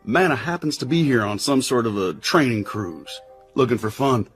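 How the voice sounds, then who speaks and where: A man's voice: mid-range voice, animated, moderate pace, lightly acted. Casting: brash American agent-pilot played by a male actor, slangy wisecracker, medium register, radio play with faint music. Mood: confiding, upbeat. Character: brash, breezy American agent and seaplane pilot, Bond's buddy. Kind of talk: radio drama acting.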